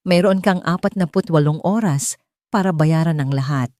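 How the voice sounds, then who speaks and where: A woman's voice: low-pitched, normal speed, dark tone, no echo, studio quality, lightly acted. Woman voicing a stern, formal bank chief.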